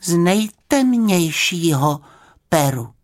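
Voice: mid-range voice, very animated, pitched above the performer's own voice, close to an everyday voice. A storybook reader voicing a small, polite young bear, earnest, timid and courteous. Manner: courteous, careful, slightly hesitant.

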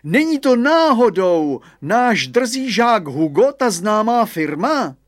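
A man voicing a jovially pompous school headmaster who calls out loudly in drawn-out phrases, in a storybook tale. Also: very high-pitched, very animated, dry recording, studio quality, pitched above the performer's own voice. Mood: hailing, pompous. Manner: loud, drawn-out calling. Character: bald school headmaster with cane and pince-nez, jovially pompous.

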